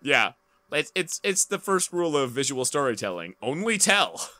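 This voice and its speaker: A man's voice: on the high side, sing-song, normal speed, bright, forceful tone, dry recording. A middle-aged man co-hosting a podcast, chatting casually and comedically with dry sarcasm. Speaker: podcast co-host. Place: small indoor room, video call.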